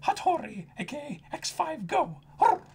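A high, squawky cartoon pigeon, dopey and full of itself, pushing out strained grunts between its brags. The pitch sits far up and bounces around. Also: male voice, big pitch swings, normal speed, a little mellow, a little hiss or hum. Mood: goofy, boastful. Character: goofy, boastful pigeon with dopey bird grunts.

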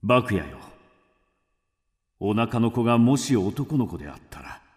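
A man's voice: lowish voice, very animated, unhurried, breathy edge, dry recording, clean studio sound, exaggerated voice. An actor playing a grim, resolute man of ancient China in a radio drama, stern and commanding. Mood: solemn. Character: grim, resolute man: doomed swordsmith and mysterious avenger. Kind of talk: theatrical radio drama acting. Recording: music behind the voice.

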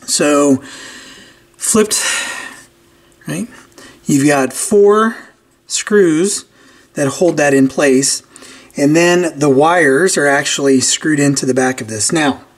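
A man giving a casual hands-on repair walkthrough, informally thinking aloud as he works. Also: fairly high pitch. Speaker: host of a repair channel. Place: indoors at a cluttered workbench. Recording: steady clear background noise with clicks.

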